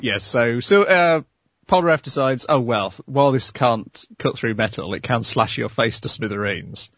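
A man's voice: medium pitch, lively intonation, moderate pace, a bit bright, dry recording, dull audio. Male podcast host, casual wry recap, slight voice loss, dry close sound. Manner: wry, giggly storytelling. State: losing his voice. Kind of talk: casual chat.

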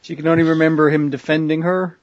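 Fast-talking man tossing out jokes. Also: on the high side, flat delivery, no room sound, highs cut off. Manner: quick, joking asides. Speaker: podcast co-host. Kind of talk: casual chat.

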